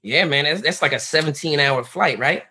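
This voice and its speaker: A man's voice: fairly high pitch, moderate pace, bright, forceful tone, a little hiss or hum. A man and podcast co-host in casual chat, playful and laughing, often restarting his words.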